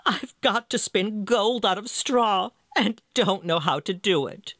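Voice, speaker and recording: A timid young maiden from a storybook, played by a male narrator, distressed and hesitant. The voice is pitched well up, with a plaintive, swooping delivery. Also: animated, unhurried, bright, forceful tone, no room sound, clean studio sound, exaggerated voice. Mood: distressed, helpless.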